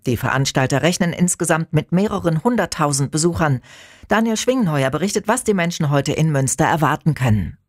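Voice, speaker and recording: A man reading the morning radio news in a measured, formal manner. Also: on the high side, no room sound, studio quality. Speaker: news anchor. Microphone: studio microphone.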